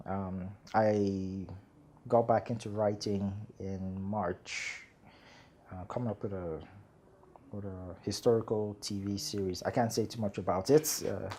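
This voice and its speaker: A man, a screenwriter guest chatting in an interview, relaxed and rambling with many fillers. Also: on the low side, unhurried, slight background noise. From Nigeria. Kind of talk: casual interview chat.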